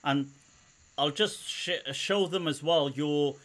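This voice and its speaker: A man's voice: a little high, slow, a bit bright. A man hosting a conversational interview, deferential and often repeating his phrases hesitantly. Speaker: host.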